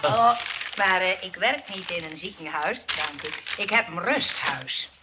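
An actress in a comic radio play voicing a kindly, practical nurse with a brisk, reassuring manner. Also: female voice, fairly low pitch, close to an everyday voice. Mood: polite. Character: kindly, practical nurse running a rest home. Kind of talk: comic radio drama acting. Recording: noisy old radio play recording.

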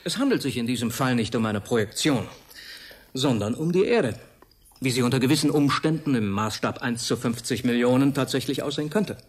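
An actor in a German radio drama playing an urbane, self-assured professor and director, formal and knowing. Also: male voice, mid-range voice, expressive, brisk, close to an everyday voice. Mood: grandly explaining.

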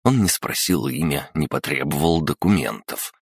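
A man's voice: a bass voice, expressive, moderate pace, gravelly, husky, dry recording, clean studio sound. A man reading an audiobook aloud, narrating the story and giving the characters their lines himself. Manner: reads all characters himself.

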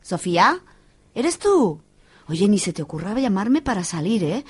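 A woman's voice: mid-range voice, sing-song, a little slow, husky. A woman playing a chatty young friend on phone. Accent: Spanish (Spain). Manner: chatty, teasing.

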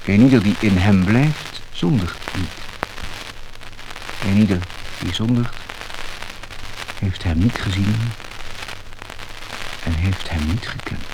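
Slow man reading scripture, noisy disc. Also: sits low. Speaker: religious reader. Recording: noisy mid-century lacquer disc recording.

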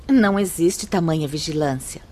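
A woman playing a serene, learned spirit guide in a radio drama, speaking in a formal, measured, instructive and firm manner. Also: sits low, a little mellow, slight background noise, lightly acted. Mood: patient, instructive. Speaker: actress in a radio play. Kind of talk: radio drama acting, solemn and didactic.

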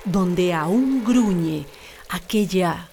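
A woman's voice: on the low side, expressive, very slow, heavy background noise, exaggerated voice. A woman playing the storyteller of a horror legend, narrating a radio drama solemnly and suspensefully. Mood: solemn, ominous.

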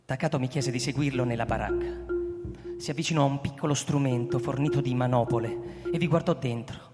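An actor playing a reflective scientist recounting a secret mission, in measured, literary radio drama narration. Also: male voice, mid-range voice, close to an everyday voice. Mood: measured, intrigued. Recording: long reverb, music behind the voice.

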